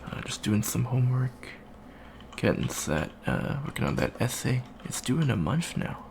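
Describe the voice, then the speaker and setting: Gravelly, awkward young alien student voiced by a man. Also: mid-range voice, sing-song, normal speed, darkish tone, slight background noise. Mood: awkward, chatty. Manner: hesitant, stammering, awkward small talk. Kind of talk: improvised ASMR roleplay, casual chat.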